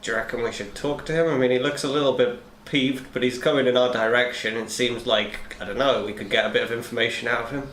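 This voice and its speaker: A man's voice: medium pitch, fairly even intonation, quick pace, hardly any pauses, fairly bright tone. A man talking casually as a player in a tabletop game. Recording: echoey room, faint noise.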